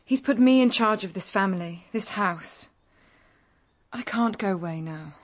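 A woman's voice: mid-range voice, animated, measured pace. An actress playing a dutiful, decisive young woman in a radio drama, with an earnest manner. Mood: earnest, anxious. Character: firm, dutiful young woman heading her family.

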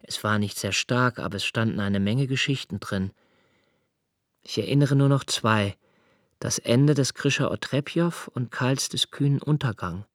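An actor playing a pensive, sensitive man who recalls his childhood in reflective, deliberate literary narration, with swells of intensity. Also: medium pitch, no room sound, studio quality, natural voice. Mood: musing. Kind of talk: literary first-person radio-play narration.

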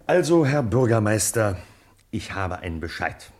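An actor playing a genial, pragmatic middle-aged police chief in a radio play, official yet easygoing in manner. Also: male voice, fairly low pitch, very animated, moderate pace, darkish tone, slight background noise. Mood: confiding. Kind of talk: German radio play acting.

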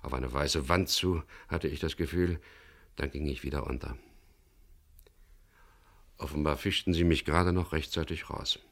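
A man's voice: very deep voice, gravelly, full character voice. An actor playing a tough, wry boat captain who narrates his own adventure tersely in a 1970s German radio crime drama.

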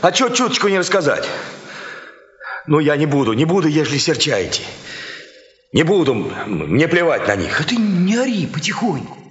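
An actor playing a garrulous peasant telling gossip over drinks in sly, folksy speech, in a radio dramatization. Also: male voice, on the high side, sing-song. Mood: indignant, gossipy. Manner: folksy, sly, drawn-out telling with repeated words. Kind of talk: dramatized literary storytelling in rustic folk speech. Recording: dull, echoey hall-like reverb.

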